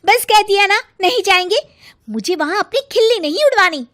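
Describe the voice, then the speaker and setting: Woman acting bossy, high-pitched young fashionista wife. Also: lively intonation, a little fast, a bit bright. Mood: indignant. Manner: emphatic, pushy. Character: bossy young fashion-obsessed daughter-in-law, insistent and vain.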